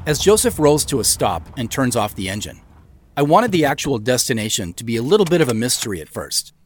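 A man's voice: medium pitch, sing-song, fairly quick, slight background noise. A man hosting a director's commentary, explaining his work as an audio drama creator.

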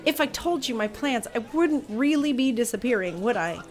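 A woman's voice: a little high, expressive, moderate pace, lightly acted. A woman voicing a brusque, impatient young woman making a secret deal in an audio drama. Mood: curt. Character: curt, scheming young woman on the run.

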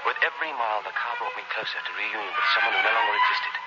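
A man's voice: fairly low pitch, racing, loud music behind the voice, lightly acted. An actor playing a desperate impostor who narrates his own scheme in a tense, hard-boiled way in a 1960s radio suspense drama. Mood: grim, calculating. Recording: very noisy, muffled old radio recording.

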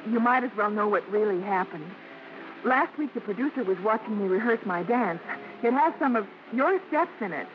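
An actress playing a proud, spirited young American vaudeville dancer, tender yet easily indignant, in theatrical 1950s radio drama. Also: female voice, medium pitch, expressive, normal speed, natural voice. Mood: guarded. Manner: theatrical, emphatic. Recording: muffled, noisy old radio broadcast.

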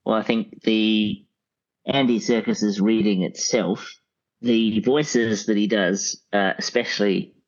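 A man speaking as a podcast guest reviewing audiobooks, picking his words in a halting, considered way. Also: on the low side, muffled recording. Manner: halting, considered, deliberate phrasing. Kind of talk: conversational review.

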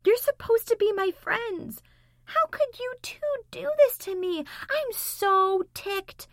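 A woman's voice: sits high, very animated, normal speed, sharp tone, dry recording, clean studio sound, voice pushed up, exaggerated voice. A woman reading a storybook, voicing a playful, dorky teenage girl heroine with a sing-song delivery. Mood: mock angry.

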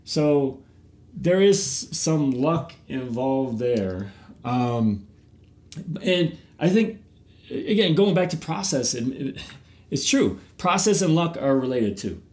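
A man's voice: mid-range voice, sing-song, slow pace, a little mellow. A middle-aged man, host of a podcast and youth baseball coach, talking casually and animatedly, often repeating words as he starts a thought.

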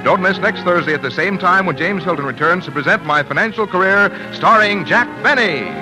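A man's voice: sits high, fairly quick, music in the background, poor audio. A man announcing scripted commercials in a polished, emphatic 1940s radio style. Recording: vintage 1940s radio broadcast.

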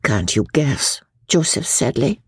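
A woman's voice: fairly low pitch, big pitch swings, measured pace, dark tone, husky, dry recording, clean studio sound, pitched above the performer's own voice, full character voice. A woman voicing a wistful, sly little lady gambler from a storybook, coaxing and theatrical, with a foreign accent. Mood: sad, reproachful.

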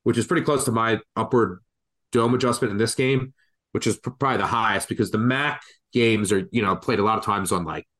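A man's voice: lowish voice, brisk, fairly bright tone. Stuffy-nosed man talking betting picks. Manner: rambling, thinks aloud with restarts. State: congested from the flu. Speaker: sports betting podcast co-host. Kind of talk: casual betting analysis chat.

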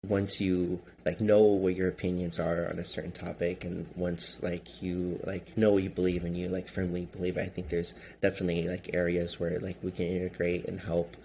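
A man's voice: low voice, completely flat delivery, normal speed, hardly any pauses, warm tone, a little hiss or hum. A man whose first language is Chinese, answering interview questions casually with many fillers and restarts. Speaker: guest, gun group member.

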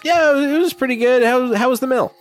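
A man's voice: extremely high pitch, fairly quick, faint music behind, pitched above the performer's own voice. A man voicing an easygoing teenage boy who talks hesitantly and casually.

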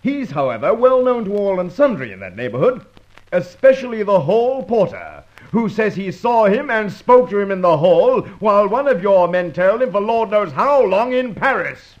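A man's voice: high voice, big pitch swings, normal speed. A man playing a blustering, scolding police superintendent in an old radio drama, sarcastic and brusque. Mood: exasperated. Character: blustering, irate Scotland Yard police superintendent. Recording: dull old radio recording.

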